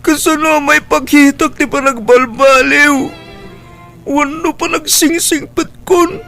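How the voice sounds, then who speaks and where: Guy doing a young filipino son, very high plaintive voice, melodramatic radio drama. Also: fairly even intonation, a little slow, vocal fry, sharp tone, faint music behind, heavily acted. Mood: grieving, remorseful. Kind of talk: Ilocano radio drama acting.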